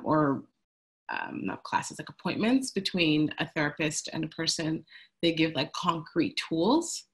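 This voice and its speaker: A woman's voice: low voice, a bit bright. A young woman presenting a webinar on a video call, chatty and full of "um"s. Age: young adult. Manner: chatty, many "um"s, speaks of youth speaking to youth. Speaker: webinar presenter, communications manager. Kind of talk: presenting slides, then leading discussion. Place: indoor room at home. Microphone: earbuds on video call.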